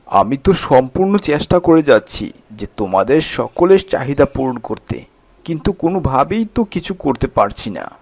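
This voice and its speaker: Guy doing a worn-out honest village labourer for a cartoon, earnest and plaintive, bit raspy, dry recording. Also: medium pitch, big pitch swings, normal speed, darkish tone, no echo. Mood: weary, pleading. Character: weary, poor, honest village day-labourer husband. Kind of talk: Bengali cartoon fairy-tale acting.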